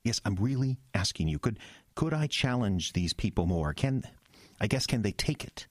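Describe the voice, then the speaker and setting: An actor playing an earnest, kind young small-town pastor in an audio drama, speaking hesitantly, stammering and trailing off. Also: male voice, fairly low pitch, sing-song, normal speed, no room sound. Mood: troubled, searching.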